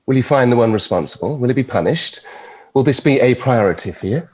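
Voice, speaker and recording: An actor with a British accent playing a suave, mischievous immortal devil, teasing and flippant, at a TV drama table read. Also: male voice, mid-range voice, lively intonation, fast talker, a little mellow, lightly acted. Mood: pressing.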